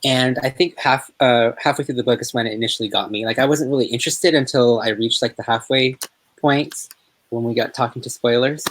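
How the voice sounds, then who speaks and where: A woman co-hosting a casual book discussion, with informal, halting phrasing. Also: very deep voice. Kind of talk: casual chat about books.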